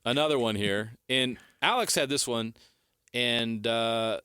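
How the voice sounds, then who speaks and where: A man hosting a sports talk radio show, playful and quick to chime in. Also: medium pitch, sharp tone, smooth, no room sound. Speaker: radio host.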